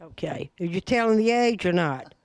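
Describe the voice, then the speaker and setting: A woman's voice: fairly low pitch, lively intonation, normal speed, a little mellow. An older woman hosting a birthday gathering at a senior center, folksy and affectionate, speaking into a handheld mic in a large community room. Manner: folksy, calls people honey. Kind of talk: casual emcee chat.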